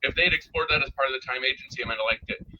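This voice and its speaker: Sharp, forceful-voiced man reviewing on a muffled call. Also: medium pitch, rapid-fire, a little hiss or hum. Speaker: podcast guest reviewer. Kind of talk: casual review discussion.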